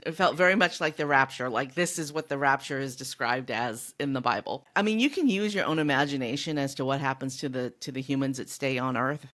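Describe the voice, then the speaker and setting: Low-voiced woman explaining things casually. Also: fairly quick, few pauses, fairly bright tone. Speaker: podcast co-host. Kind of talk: casual book discussion.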